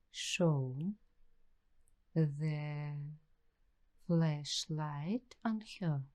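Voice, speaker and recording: A woman playing an uneasy, paranoid young waitress in an ASMR roleplay, speaking in hushed, deliberate, halting phrases with suspenseful pauses. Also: low voice, heavily acted. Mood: uneasy suspicion. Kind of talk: slow ASMR roleplay storytelling.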